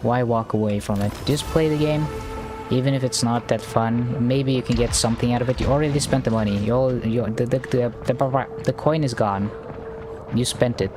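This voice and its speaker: A man's voice: mid-range voice, normal speed. Man, live game streamer, stuttery, repeats himself, game music under him. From Norway. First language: Norwegian. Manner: stammering, repeats words, thinking aloud. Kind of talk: live gameplay commentary.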